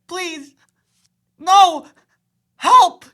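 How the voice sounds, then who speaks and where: A woman acting a frightened, defiant small boy in a radio play, crying out in drawn-out protest. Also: very high voice, pushed and bright, exaggerated voice. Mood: terrified pleading.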